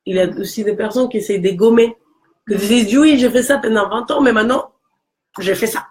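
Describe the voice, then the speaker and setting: A woman from Mexico with a Mexican Spanish accent and a low voice, talking earnestly in a casual conversation. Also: a little fast. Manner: earnest, encouraging, with frequent restarts.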